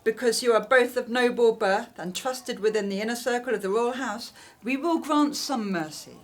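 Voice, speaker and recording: A woman's voice: a little high, moderate pace, close to an everyday voice. A woman playing a ruthless, scheming Egyptian queen in an audio drama, with a stately, formal, commanding delivery. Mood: cold, commanding. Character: imperious, ruthless scheming Egyptian queen, first royal wife.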